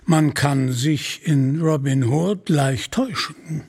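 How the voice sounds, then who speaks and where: A man voicing a calm, scheming, smug sheriff in a storybook, speaking in a quiet, sly manner. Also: on the high side, slow pace, breathy edge, heavily acted. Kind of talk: storybook character acting.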